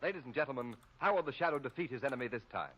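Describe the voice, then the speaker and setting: A man's voice: mid-range voice, lively intonation, racing, over loud music. A male radio announcer reading a scripted 1930s advertisement in an emphatic, salesmanlike way. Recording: muffled vintage radio broadcast.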